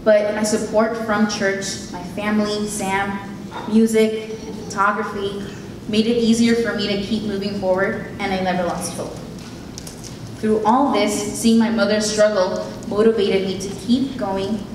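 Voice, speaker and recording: A woman's voice: medium pitch, little pitch movement, unhurried, background noise. Earnest young woman in an echoey hall. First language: Spanish. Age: late teens. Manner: earnest, heartfelt, reading from notes. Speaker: keynote speaker. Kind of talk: prepared speech. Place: event hall. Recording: echoey hall recorded from a distance.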